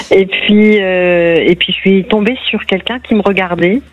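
A middle-aged woman from northern France, a caller telling her story in a chatty, lively way full of fillers. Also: medium pitch, slow pace, low-quality recording. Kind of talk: casual storytelling.

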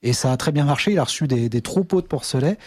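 A man's voice: fairly high pitch, animated, fast, a little mellow, no room sound, clean studio sound. A man, answering interview questions about his charity in a hesitant way, with many fillers and restarts. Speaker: charity representative.

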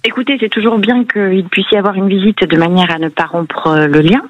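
A woman's voice: mid-range voice, fairly even intonation, a little fast, dry recording. A slightly muffled, dry phone line carries a woman. She is a caller giving opinions in a conversational way. She often hesitates and searches for her words. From France. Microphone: phone call to radio studio. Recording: dull, band-limited phone-in line.

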